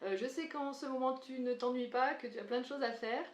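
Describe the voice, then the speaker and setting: Higher-voiced woman hosting a podcast in an echoey room. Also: brisk. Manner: warm, polite, scripted intro then hesitant questions. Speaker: podcast host, sales trainer.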